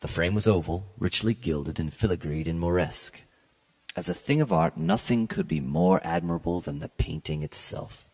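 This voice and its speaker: A man giving a dramatic, scripted reading of classic literature as a podcast host, with a gravelly, husky voice and a measured, formal, theatrical delivery. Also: low-pitched, lively intonation, a little mellow. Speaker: podcast host and story reader.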